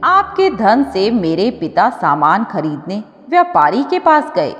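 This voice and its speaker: A woman's voice: mid-range voice, big pitch swings, slow, fairly bright tone. Sad poor village girl voiced by a woman, echoing. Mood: sorrowful. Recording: dull audio with long reverb.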